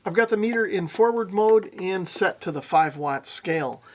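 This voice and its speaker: Guy with a pretty high voice walking thru a gadget demo, methodical, casual. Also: expressive, moderate pace. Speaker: radio reviewer, presenter. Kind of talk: casual hands-on product demo narration.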